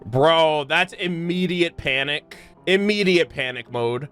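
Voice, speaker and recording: A young man doing casual, slangy gaming reaction commentary in an expressive way. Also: on the high side, slow, clean tone. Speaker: gaming streamer. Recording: game music behind the voice.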